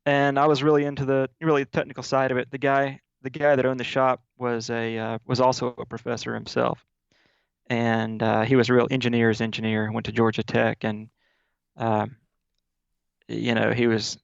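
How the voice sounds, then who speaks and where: Gravelly, flat-voiced man on a call. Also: medium pitch, moderate pace, no room sound, muffled recording. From Mississippi. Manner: relaxed storytelling with many fillers. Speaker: guest, audio software developer. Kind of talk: casual interview over video call. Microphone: video call.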